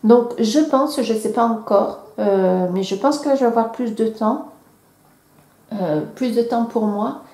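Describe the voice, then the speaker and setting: A woman's voice: a little high, unhurried, dark tone. A woman vlogger with a cold, chatting casually and hesitantly with many fillers and restarts. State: has a cold. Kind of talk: casual chat. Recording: echoey room.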